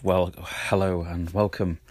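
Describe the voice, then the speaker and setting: A man hosting his own audio blog, his voice husky from a cold, chatting and rambling with lots of ums. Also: sits low, flat delivery, slow pace, darkish tone. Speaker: podcast host. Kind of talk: casual solo audio blog.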